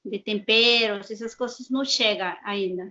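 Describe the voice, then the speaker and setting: A woman from Peru, a native Spanish speaker with a heavy Peruvian accent, chatting as an interview guest in an unhurried way, restarting and repeating words. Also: medium pitch, pushed and bright. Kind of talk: casual interview chat. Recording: dull lo-fi sound.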